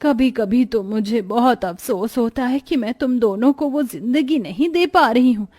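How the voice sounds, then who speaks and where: A woman's voice: a little high, normal speed, warm tone, close to an everyday voice. A woman as a tender, weary village mother. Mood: regretful. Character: poor, weary, devoted village mother selling vegetables. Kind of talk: cartoon story voice acting.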